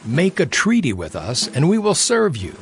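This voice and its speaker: A man playing a pleading elder of a besieged ancient town in a dramatized scripture story, speaking formally in a husky voice. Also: on the high side, wildly swooping pitch, normal speed, soft background music.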